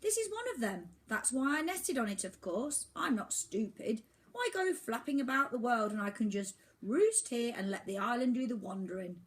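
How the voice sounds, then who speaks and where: A woman voicing a grumpy, creaky-voiced old male albatross in a storybook reading, with a haughty, grumbling manner. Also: on the high side, very animated, moderate pace, hardly any pauses, voice pushed up. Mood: lecturing.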